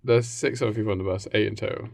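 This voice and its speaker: Low, creaky-voiced English man. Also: steady tone, racing, no echo, studio quality. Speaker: podcast co-host. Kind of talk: casual chat, improvised storytelling.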